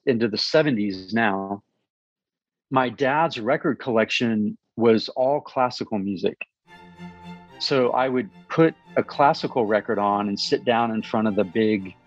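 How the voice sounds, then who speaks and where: A man in his fifties, a musician answering interview questions in an earnest, thoughtful way, often pausing and restarting. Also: medium pitch, dry recording. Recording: slightly dull recording.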